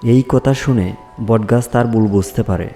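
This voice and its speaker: A man's voice: fairly low pitch, moderate pace, creaky, mellow, soft background music. A man narrating a scripted fairy tale for children as a storyteller.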